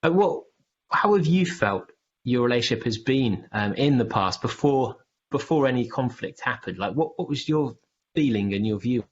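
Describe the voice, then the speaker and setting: A man's voice: medium pitch, lively intonation, moderate pace, lo-fi sound, a little hiss or hum. A British man hosting a podcast, asking long rambling questions in a casual way. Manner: rambling, loosely built questions. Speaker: podcast host.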